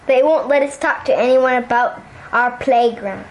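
A man's voice: very high-pitched, normal speed, dull audio, voice pushed up. A man voicing an earnest, determined young child in a storybook, plaintive and insistent. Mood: pleading.

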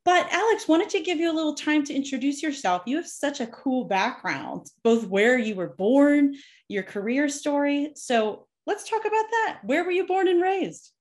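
An upbeat woman hosts a podcast interview with an encouraging manner. Her voice sits a little higher than most. She asks her questions with friendly enthusiasm. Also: lively intonation, normal speed. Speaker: podcast host.

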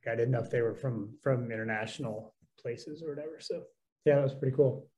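A man's voice: medium pitch, brisk, warm tone. A man co-hosting, asking guests curious follow-up questions.

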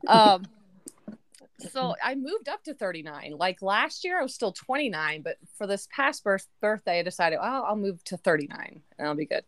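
A woman's voice: medium pitch, very animated, normal speed, no echo. Chatty woman hosting a family podcast. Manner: chatty, laughs often. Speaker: podcast host. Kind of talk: casual family chat. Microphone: remote call.

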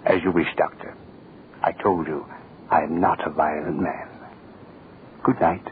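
An actor playing a cold, power-hungry state official in theatrical, menacing, gloating 1940s radio drama style. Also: male voice, a bass voice, steady tone, measured pace. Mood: coolly menacing. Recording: tinny, hissy old radio recording.

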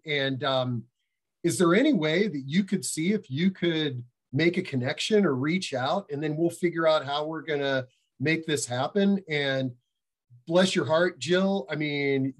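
A man hosting a virtual panel discussion, introducing the guests with many fillers. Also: on the high side. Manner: slow, rambling with many fillers. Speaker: host. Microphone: video call.

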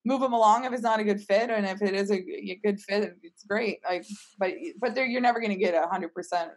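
A woman's voice: medium pitch, fast. A woman answering interview questions about her work in a casual, informal, explanatory way, as a team leader would. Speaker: tech company team leader, interview guest.

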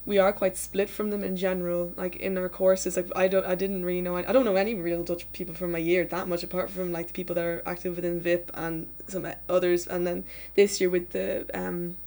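A young woman hosts a casual student discussion. Her voice sits a little low and stays quite flat in melody, and she hedges as she thinks aloud. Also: a little fast, few pauses. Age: young adult. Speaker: student host asking questions. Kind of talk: casual chat.

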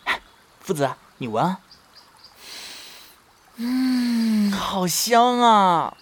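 A man playing a shy, playful, lovestruck young male fox spirit in a period Chinese audio drama, with an expressive, bashful, slightly whiny delivery. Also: high-pitched, extremely slow, a little hiss or hum, full character voice. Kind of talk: ancient-China romance audio drama acting.

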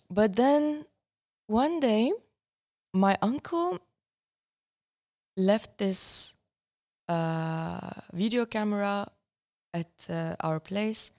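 A young woman, a filmmaker talking as the guest in a relaxed interview and often restarting as she searches for words. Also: lowish voice. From Syria. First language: Arabic. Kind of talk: casual conversation.

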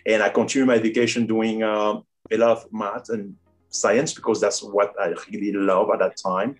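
A man's voice: lowish voice, flat delivery, moderate pace, soft background music. A man in his forties from Cameroon with a Cameroonian French accent, answering interview questions earnestly with frequent fillers. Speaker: airline first officer, guest.

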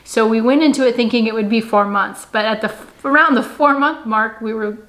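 A woman's voice: on the high side, a little fast, a bit bright. A woman in her thirties hosting her own channel, chatting casually and laughing often as she tells a personal story. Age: 30s. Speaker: channel host. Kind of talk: casual couple vlog chat.

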